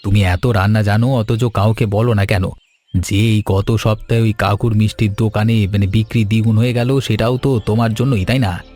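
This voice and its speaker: A man playing a curious, courteous young man in a cartoon, with an inquisitive, slightly halting way of talking. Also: on the low side, steady tone, mellow, lightly acted. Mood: gently probing. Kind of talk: cartoon voice acting. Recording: music under the voice.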